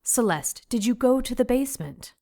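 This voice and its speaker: A woman playing an orphanage caretaker in an audio drama, motherly and hushing but anxious and urgent. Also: fairly high pitch, animated, normal speed. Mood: worried.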